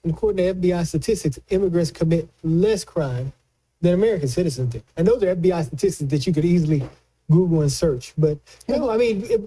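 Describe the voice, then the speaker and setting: A man's voice: on the high side, quick pace, mellow, slightly rough, low-quality recording. A man giving casual political commentary, speaking emphatically and repeating phrases for stress.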